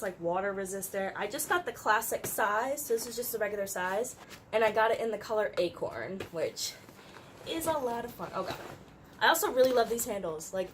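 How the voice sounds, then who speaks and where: Young woman vlogger, stuffy and congested, loose chatty delivery with lots of "like", mid-range voice, faint background hiss. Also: medium pitch. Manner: chatty, uses "like" often, light laughs. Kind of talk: casual chat. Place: her room.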